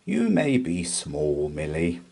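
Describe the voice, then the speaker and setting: A man voicing a big, kindly male bear in a children's storybook, speaking gently and tenderly. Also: sits low, wildly swooping pitch, slow, vocal fry, slightly rough, voice pushed down, full character voice.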